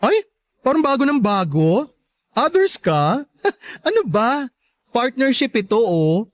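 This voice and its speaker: A woman playing a sharp-tongued, joking best friend in a Filipino radio drama, campy and teasing. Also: on the high side, big pitch swings, slow, no room sound, studio quality. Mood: playful, teasing.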